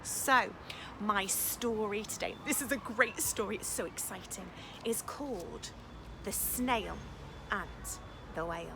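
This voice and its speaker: A woman teacher reading a storybook to young children in an expressive, encouraging way, with dramatic emphasis and chatty questions to her listeners. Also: medium pitch, unhurried, vocal fry, noisy background.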